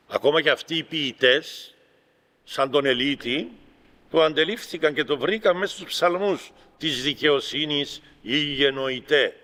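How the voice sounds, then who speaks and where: A man with a Greek Cypriot accent preaching as an Orthodox bishop, reading aloud and commenting in deliberate, emphatic short phrases. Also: medium pitch, a bit bright. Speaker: Orthodox bishop giving a homily. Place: large reverberant room at a gathering. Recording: reverberant hall with long echo.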